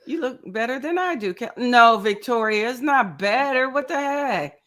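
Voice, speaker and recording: A woman's voice: fairly high pitch, expressive, normal speed, fairly bright tone. A woman in her fifties hosting a casual livestream, chatty and greeting viewers by name with frequent laughs. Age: 50s. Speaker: crochet channel host.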